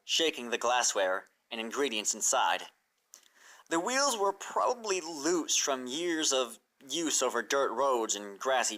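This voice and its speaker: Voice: mid-range voice, bright, forceful tone. A narrator reading a scripted story aloud in a storybook narration style. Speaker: fan fiction narrator.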